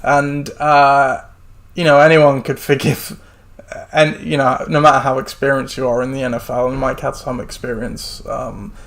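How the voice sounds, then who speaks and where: A man hosting a sports podcast in casual chat, rambling with many fillers and restarts, a little hoarse. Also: medium pitch, flat delivery, moderate pace, fairly bright tone. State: hoarse, losing his voice. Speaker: podcast host.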